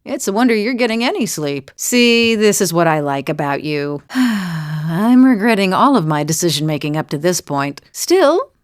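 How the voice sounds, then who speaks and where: A woman's voice: fairly low pitch, sing-song, moderate pace, hardly any pauses, no echo, studio quality. A woman playing a weary, wry middle-aged adventurer in a video game, confiding in a plain-spoken way. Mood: wry, dry. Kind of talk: video game character dialogue.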